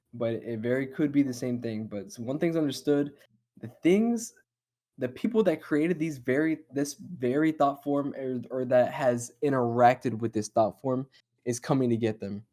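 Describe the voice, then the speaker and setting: A man's voice: medium pitch, unhurried, mellow. A man hosting a horror discussion show, talking in a casual, rambling, speculative and sometimes profane way. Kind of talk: casual chatty analysis of horror lore.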